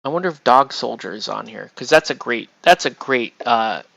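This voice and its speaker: A man chatting casually on a livestream while he paints miniatures, rambling and excitable, often breaking off mid-sentence. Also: medium pitch, moderate pace, gravelly, no room sound. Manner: rambling, excitable, self-interrupting with laughs.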